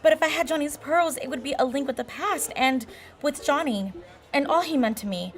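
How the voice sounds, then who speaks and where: An actress playing a genteel, heartbroken wife in an old radio drama, pleading with halting, melodramatic emphasis. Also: female voice, sits high, natural voice. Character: heartbroken, genteel married woman, sentimental and pleading. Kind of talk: 1940s radio drama acting.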